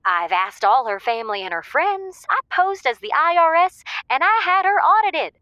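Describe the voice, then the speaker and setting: A woman playing an excitable, dramatic radio caller obsessed with secretive lovers, in a comedic audio drama. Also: high-pitched, normal speed, no echo, muffled recording. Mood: excited. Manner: gushing, dramatic, emphatic delivery.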